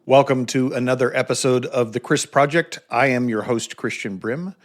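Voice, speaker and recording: A man hosting a podcast interview, talking in a halting, thoughtful way with many restarts. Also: mid-range voice, steady tone. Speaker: podcast host. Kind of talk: conversational interview.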